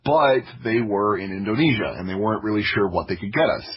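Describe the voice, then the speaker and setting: A man from the United States telling personal stories casually as a podcast guest, in an informal, humorous way with a husky voice. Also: lowish voice, animated, brisk, highs cut off. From United States (Florida). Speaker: podcast guest, audio drama creator.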